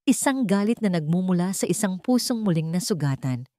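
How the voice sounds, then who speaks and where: A woman's voice: fairly low pitch, animated, fairly quick, mellow, dry recording, clean studio sound. A woman storyteller giving dramatic scripted narration of a romantic story.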